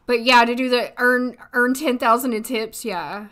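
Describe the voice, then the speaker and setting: A woman in her thirties, hoarse today, chatting casually and thinking aloud as she streams a life-sim game from a desk at home. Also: fairly high pitch, fairly even intonation, normal speed, a bit bright. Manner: chatty, thinking aloud, frequent little laughs. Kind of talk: casual gameplay commentary. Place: home room, seated at desk.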